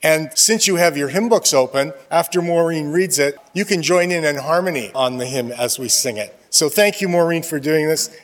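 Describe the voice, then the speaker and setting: A man's voice: fairly high pitch, moderate pace, hardly any pauses. A man giving casual, good-humored announcements to a congregation during a church service, now and then laughing at himself. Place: church sanctuary. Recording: long reverb of a church.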